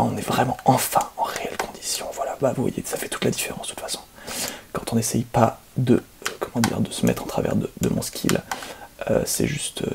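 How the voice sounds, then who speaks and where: A man playing a boastful, excuse-making video game speedrunner in an ASMR roleplay, speaking softly and half-whispered with smug, hesitant phrasing. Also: mid-range voice. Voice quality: soft, breathy, half-whispered, creaky. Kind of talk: ASMR roleplay, soft gaming commentary.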